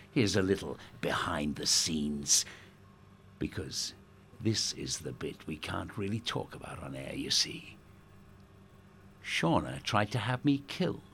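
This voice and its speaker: An actor playing a smug, sardonic state radio host in a dark audio drama, theatrical and menacingly polite with pompous presenter patter. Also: male voice, low-pitched, gravelly, a little hiss or hum, exaggerated voice. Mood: bitter, confiding.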